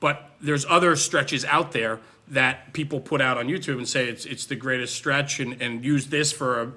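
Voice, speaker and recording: Bright forceful guy, firefighter hosting a casual talk, really drives his points home. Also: mid-range voice, steady tone, normal speed. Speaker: interviewer, firefighter. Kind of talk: casual discussion.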